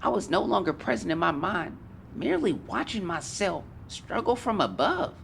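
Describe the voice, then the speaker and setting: A man's voice: high voice, very animated, moderate pace, vocal fry, fairly bright tone, a little hiss or hum, full character voice. A man playing a haunted teenage boy explorer, solemnly and theatrically dictating a fearful journal in an audio drama. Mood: tense, haunted. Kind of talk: dramatic first-person journal narration.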